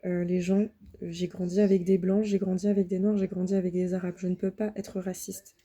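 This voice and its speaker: A woman in her thirties from France, recording a halting, upset personal appeal to viewers. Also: fairly low pitch, flat delivery, dark tone, slightly rough. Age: 30s. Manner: halting, many hesitations and restarts; upset, defensive. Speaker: vlogger. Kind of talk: personal video appeal to the public.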